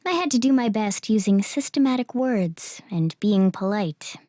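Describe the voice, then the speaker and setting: A woman's voice: mid-range voice, lively intonation, moderate pace, warm tone, no room sound, clean studio sound, lightly acted. A woman playing a composed, condescending game guide who is secretly a villain, in an anime game dub. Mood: smug, bitter. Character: game guide girl revealed as smug, scheming villain. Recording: dramatic background music.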